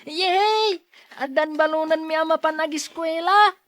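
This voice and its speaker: Eager, high-pitched little boy. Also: a little slow, fairly bright tone, no room sound, studio quality. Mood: excited, innocent. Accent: Ilocano. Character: eager, chatty little grandson. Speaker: radio drama actor. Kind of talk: Ilocano radio drama acting.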